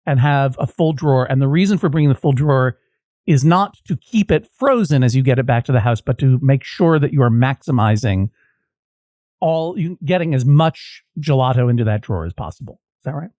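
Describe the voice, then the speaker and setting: A man's voice: medium pitch, lively intonation, brisk, dark tone, dry recording, clean studio sound. A man hosting a podcast as a mock judge, questioning guests with wry jokes and rambling asides. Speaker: podcast host acting as judge. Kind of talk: playful comedic questioning.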